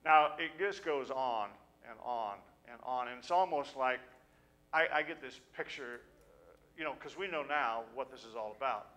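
Bright-voiced middle-aged man preaching. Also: mid-range voice. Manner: earnest, conversational, with halting restarts. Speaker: pastor. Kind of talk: sermon with scripture reading. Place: small church sanctuary. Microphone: pulpit stand mic.

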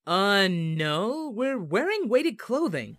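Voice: mid-range voice, very animated, slow pace, noisy background. An actor voicing a naive, childlike, cheerfully dim male martial arts hero in a fan parody cartoon dub, with a guileless, eager manner. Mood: curious, naive.

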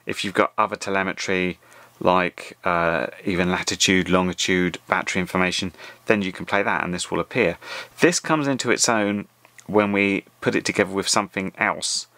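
Low-voiced middle-aged man, casual tutorial. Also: steady tone, normal speed, bright, forceful tone, no room sound. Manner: informal, explanatory, conversational. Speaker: host of an RC radio tutorial.

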